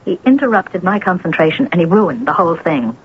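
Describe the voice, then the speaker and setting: Haughty resentful wife, animated pitch, cold, clipped, accusing, old radio drama, muffled recording. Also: female voice, fairly quick, slight background noise.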